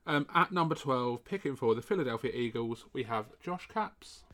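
A man's voice: medium pitch, lively intonation, normal speed, fairly bright tone. A man hosting a podcast mock draft, chatty and a bit sniffly from hay fever. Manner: chatty, apologetic asides. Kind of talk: casual chat.

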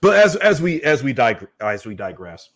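A man's voice: mid-range voice, big pitch swings, moderate pace, a bit bright. A man co-hosting a casual live chat show, earnestly explaining his points and talking to the audience. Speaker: co-host of horror review show.

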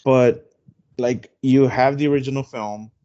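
A man's voice: mid-range voice, steady tone, a little slow, mellow. Rambling man, Spanish native, lo-fi. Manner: rambling, many fillers and restarts, swears casually. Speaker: podcast co-host. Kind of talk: casual podcast chat. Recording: dull lo-fi sound.

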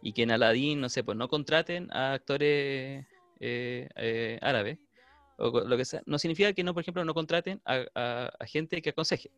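A man with a Chilean Spanish accent, debating casually and often searching haltingly for his words. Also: mid-range voice, fairly bright tone, dry recording, lo-fi sound. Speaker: discussion panelist.

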